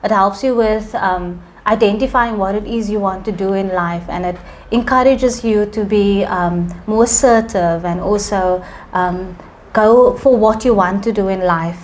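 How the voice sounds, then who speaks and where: A woman from Cape Town, answering interview questions gently, with frequent pauses. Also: medium pitch, a little hiss or hum. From Cape Town, South Africa. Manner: soft-spoken, gentle, many "um" pauses. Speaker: fashion designer guest.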